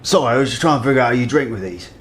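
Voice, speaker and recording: A man voicing a cheeky, punch-happy mercenary in a tabletop game, flippant and deadpan, with a British accent. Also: medium pitch, racing, close to an everyday voice. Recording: faint background noise.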